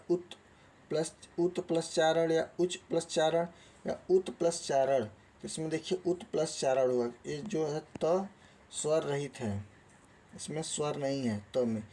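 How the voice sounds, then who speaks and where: A man's voice: a little high, steady tone, a little slow, a little mellow. A man teaching a lesson, reading out quiz questions and options in a didactic way and then giving the answers. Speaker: Hindi grammar teacher.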